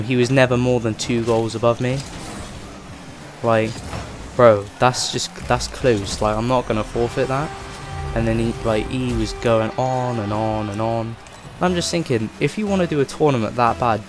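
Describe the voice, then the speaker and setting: A man hosting a gaming channel, chatting casually over his gameplay and rambling with lots of fillers, his voice rough from a cold. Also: medium pitch, normal speed, music in the background.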